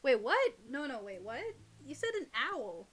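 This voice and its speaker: A woman's voice: sits high, sing-song, moderate pace, husky. A woman co-hosting a casual reading of online stories, chatting and teasing in a playful way. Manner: playful, teasing banter with co-host. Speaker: host and reader of online horror stories.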